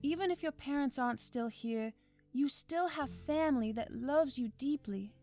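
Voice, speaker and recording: A woman playing a gentle, earnest grandmother from a farm in a fantasy visual novel. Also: sits high, normal speed, soft background music. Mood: tender, earnest. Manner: tender, earnest, reassuring. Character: gentle elderly grandmother who runs a farm.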